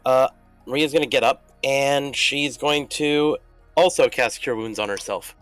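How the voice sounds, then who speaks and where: A man plays a tabletop game in casual conversation. His medium-pitched voice is lively and recorded dry, with soft music behind it. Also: a little slow, clear, resonant tone, dry recording.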